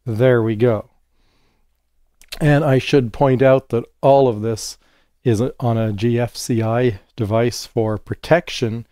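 A hoarse man in his fifties explaining a transformer experiment step by step while he works at his bench. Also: medium pitch, warm tone, no echo, clean studio sound. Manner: unhurried, explanatory, thinking aloud while working. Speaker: electronics educator. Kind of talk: hands-on technical demonstration. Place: home studio with monitors and workbench.

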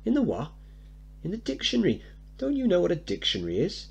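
A man playing a bright, innocent seven-year-old boy who speaks in a polite, proper, wide-eyed way in a play reading. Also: high-pitched, huge pitch swings, brisk, gravelly, breathy edge, pitched above the performer's own voice, full character voice. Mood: awed, curious.

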